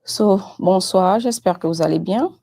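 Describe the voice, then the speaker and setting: A woman whose first language is French hosts a live-streamed chat, her voice low and gravelly. Her tone is dark and mellow. She talks unhurriedly, in halting phrases. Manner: halting, unhurried, switches between English and French. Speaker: host of a live show. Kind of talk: casual live-stream interview hosting.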